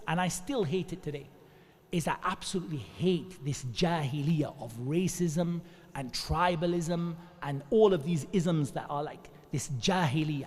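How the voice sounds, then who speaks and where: A man from England giving an earnest, animated religious talk to an audience, telling stories with quick asides. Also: fairly high pitch, husky. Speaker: Islamic speaker. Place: Islamic centre hall. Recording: long hall reverb.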